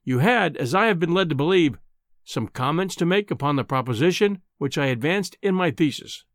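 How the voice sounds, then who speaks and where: A man acts out a pompous, bullying man of science from a storybook. His contemptuous voice rumbles and roars with big swings of pitch, pitched fairly high and recorded very dry. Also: lively intonation, brisk, no echo. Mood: icy disdain. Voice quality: rumbling, roaring. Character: short, barrel-chested, furious, bullying, pompous male scientist. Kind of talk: dramatic audiobook character acting.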